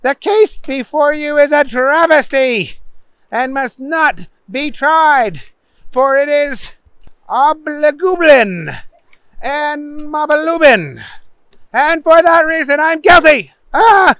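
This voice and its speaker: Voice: sits high, lively intonation, slow pace, pushed and bright, no echo, pitched above the performer's own voice, full character voice. A storyteller voicing a dim, earnest male defendant who bumbles and muddles simple words with great emphasis, in a comic story. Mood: muddled, earnest.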